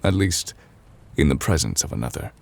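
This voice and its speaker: A man voicing a solemn, gentle, ancient immortal chief justice in an ASMR roleplay, speaking formally and haltingly in a confiding, gravelly, breathy voice. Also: a bass voice, big pitch swings, full character voice. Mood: tender, reflective.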